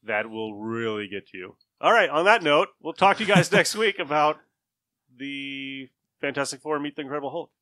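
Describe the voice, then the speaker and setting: A man's voice: mid-range voice, animated, moderate pace, bright, forceful tone, dry recording. A man hosting a podcast, talking in a casual discussion with friends. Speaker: podcast host.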